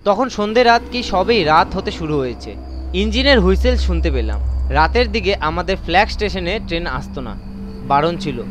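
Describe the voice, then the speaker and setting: High-pitched man narrating a story. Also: animated, moderate pace, noisy background. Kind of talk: dramatised first-person horror story narration. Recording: constant nature ambience effects behind voice.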